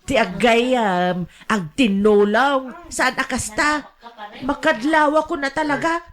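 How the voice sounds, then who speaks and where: A high-voiced, brash older mother with a Filipino accent, scolding and wheedling in radio drama style as acted by a woman. She is a pushy gambler, always after money. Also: slow pace, fairly bright tone. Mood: bossy banter. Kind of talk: Ilocano radio drama acting.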